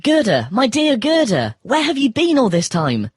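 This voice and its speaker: A woman's voice: fairly high pitch, wildly swooping pitch, normal speed, husky, no room sound, clean studio sound, heavily acted. A woman playing a lost, bewildered, affectionate young boy in a storybook game, earnest and plaintive. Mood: pleading.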